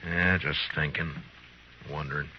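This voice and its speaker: A very deep, stern frontier lawman. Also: steady tone, a little slow, slight background noise. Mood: firm, calm. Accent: American. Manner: terse, authoritative. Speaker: lead actor in a radio western. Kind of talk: old-time radio drama acting. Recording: muffled vintage radio recording.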